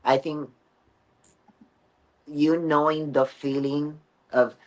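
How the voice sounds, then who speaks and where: A middle-aged Puerto Rican woman speaks English with her native Spanish accent. Her voice sits remarkably low for a woman. She talks earnestly in a relaxed interview, pausing and restarting with plenty of fillers. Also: rough recording. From Puerto Rico. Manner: earnest, halting phrasing with frequent fillers. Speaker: guest athlete. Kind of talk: casual interview chat.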